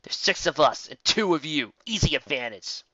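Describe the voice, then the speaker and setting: A man's voice: on the high side, big pitch swings, moderate pace, pushed and bright, no room sound, highs cut off, voice pushed up, full character voice. A man voicing a defiant young pegasus mare in a story reading, demanding and challenging. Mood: angry, defiant.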